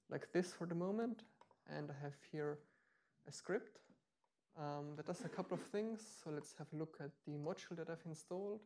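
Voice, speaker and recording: A man in his thirties giving a live software demo talk at a meetup, explaining step by step with frequent ums and restarts. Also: on the high side. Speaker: meetup speaker. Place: meetup room.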